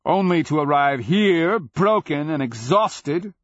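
A man voicing an ancient, half-mad nonhuman warrior king who taunts in loud, declamatory cries for a fantasy audiobook. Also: extremely slow, no room sound, highs cut off, heavily acted. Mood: mocking. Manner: high, shouted, declamatory taunting. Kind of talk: fantasy audiobook character acting.

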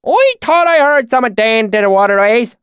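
A weathered backwoods swineherd, speaks in a high, swooping voice. His thick rural dialect is folksy and hollered, as if calling across a field. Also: lively intonation, moderate pace, a bit bright, clear, resonant tone, dry recording, clean studio sound. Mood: suspicious. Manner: hollering, folksy, drawling speech. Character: lean, weathered, suspicious old rustic swineherd, later friendly.

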